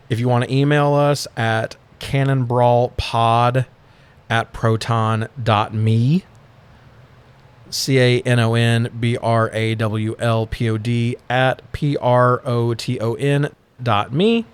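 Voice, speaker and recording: A man with a clean, resonant voice at an average male pitch. He co-hosts a podcast and talks in an easygoing, conversational way. His wording is informal, with the odd swear word. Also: fairly even intonation, slow pace, smooth. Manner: informal, occasionally profane. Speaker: podcast co-host. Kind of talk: casual podcast chat.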